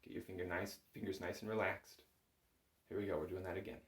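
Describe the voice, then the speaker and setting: A man's voice: low-pitched, level tone, fast. A man giving a casual step-by-step music lesson as an instructor, informal, patient and encouraging. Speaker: bagpipe chanter instructor. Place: small indoor music room at home. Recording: roomy, echoing home room sound.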